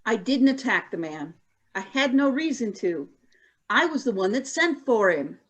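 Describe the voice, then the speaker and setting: A woman's voice: fairly high pitch, big pitch swings, normal speed, a bit bright. A woman playing an accused official defending herself in a scripted radio play, earnest and protesting. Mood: defensive.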